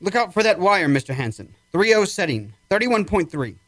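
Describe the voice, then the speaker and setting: A man's voice: sits high, sing-song, moderate pace, a bit bright, no echo, full character voice. A man acting in an old-time radio drama as a probing, authoritative army psychiatrist with a clipped, commanding manner. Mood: commanding, intent.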